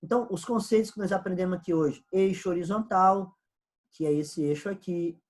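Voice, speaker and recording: A man's voice: sits high, fairly quick, darkish tone. A man with a Brazilian Portuguese accent teaching an economics lesson, explaining step by step and repeating key points. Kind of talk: recorded online class lecture.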